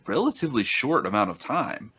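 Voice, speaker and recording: A man in his thirties hosting an interview over a video call, halting and repeating words as he affirms his guest. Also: medium pitch, sing-song, sharp tone, slightly rough. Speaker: host. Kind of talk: casual interview talk.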